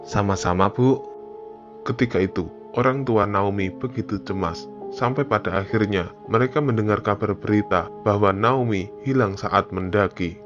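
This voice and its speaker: A man's voice: on the low side, moderate pace, muffled recording, over music. A man narrating a scripted horror story.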